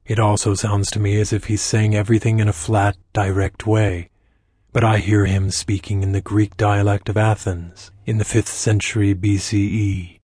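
A calm, knowing trickster sage, voiced by a man in an audiobook, low and dark in tone and nearly monotone. His husky voice explains without hurry. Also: normal speed, dry recording, studio quality. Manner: flat, level, explaining calmly. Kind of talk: audiobook character voice.

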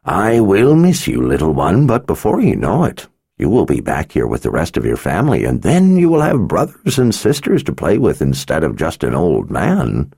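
A man's voice: sits low, huge pitch swings, moderate pace, vocal fry, a little mellow, breathy edge, no echo, studio quality, heavily acted. Man voicing a kindly old wizard. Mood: fond. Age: over 60. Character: kindly old male wizard, gentle grandfatherly.

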